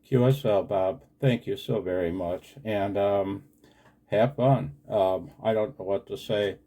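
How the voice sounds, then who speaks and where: American guy in his 60s, deep-ish relaxed voice, chatting on ham radio, earnest and deferential. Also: measured pace. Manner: earnest and deferential, often saying sir. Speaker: amateur radio operator. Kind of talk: casual two-way radio conversation.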